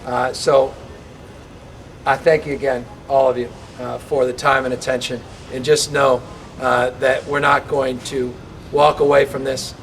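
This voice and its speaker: A man speaking as mayor at an outdoor event, measured and reassuring. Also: medium pitch, flat delivery, fairly bright tone. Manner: measured, reassuring, level delivery. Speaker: mayor giving remarks. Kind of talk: public speech. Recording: distant mic with faint noise.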